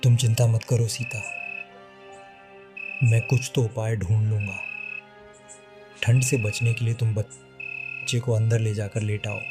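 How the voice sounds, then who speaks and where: A man's voice: on the low side, lightly acted. A man playing a poor village woodcutter and father in a melodramatic cartoon moral story, pleading and lamenting. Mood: reassuring. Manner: pleading, lamenting, repeats himself. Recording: loud music behind the voice.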